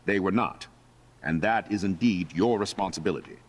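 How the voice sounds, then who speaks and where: A man's voice: deep voice, normal speed. A man playing a stern, formal school principal with a pompous manner in a video game drama. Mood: stern reprimand.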